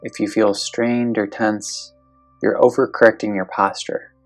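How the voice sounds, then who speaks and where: A meditation guide speaking slowly and gently, giving calm step-by-step instructions in a guided meditation. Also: mid-range voice, soft background music.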